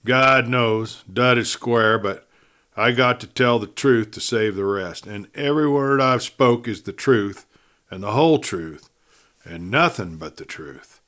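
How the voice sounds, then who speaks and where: A man's voice: measured pace, a bit bright, natural voice. A man voicing a dying Western outlaw in an audiobook, desperate and sneering, speaking urgently in a rustic cowboy drawl as he gasps out a confession. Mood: earnest confession. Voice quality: low, breathy. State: gasping, near death. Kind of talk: audiobook character voice.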